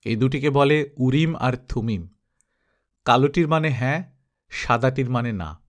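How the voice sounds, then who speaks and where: Male narrator as an old king, wise, calm, instructive, storybook dialogue. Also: medium pitch, unhurried, lightly acted. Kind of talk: audiobook dialogue.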